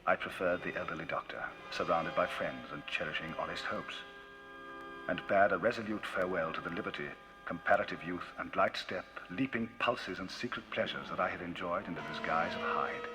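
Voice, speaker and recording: A man giving a dramatic, theatrical reading of a classic novel as the narrator of a vinyl audiobook, his pitch and loudness rising and falling widely. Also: a little fast, poor audio. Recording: vinyl audiobook with music bed. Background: music.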